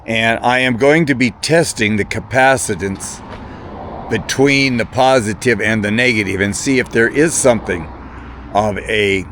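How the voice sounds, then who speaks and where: A man with a slightly low voice speaks off the cuff to viewers as a hobbyist. His delivery is casual and rambling. He hesitates often, doubling back on words and halting between phrases. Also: fairly bright tone, a little hiss or hum. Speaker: hobbyist video presenter.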